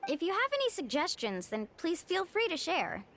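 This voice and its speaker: Game heroine: upbeat young woman, high-pitched and lively, friendly and thoughtful. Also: moderate pace, slight background noise, exaggerated voice. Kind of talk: scripted video game dialogue.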